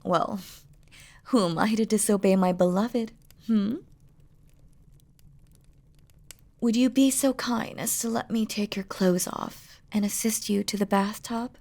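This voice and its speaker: A woman's voice: mid-range voice, unhurried, a little mellow, close to an everyday voice. A woman playing a doting, playful demon queen in an intimate romantic audio roleplay, speaking tenderly and teasingly in formal, regal phrasing. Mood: flirtatious, doting.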